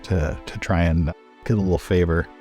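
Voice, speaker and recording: A man's voice: deep voice, steady tone, normal speed, warm tone, soft background music. A man casually narrating his character's actions as a player in a tabletop roleplaying game.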